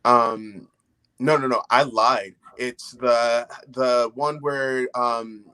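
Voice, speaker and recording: A man's voice: medium pitch, fairly even intonation, drawn-out words, bright, forceful tone, smooth, poor audio. A young man chatting casually and reflectively as a panelist on a video call from home. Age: young adult.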